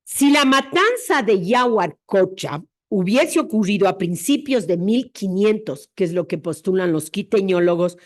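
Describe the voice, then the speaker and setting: A woman's voice: medium pitch, lively intonation, a little slow, hardly any pauses. A woman presenting an academic history lecture, explaining her reasoning step by step in a didactic way.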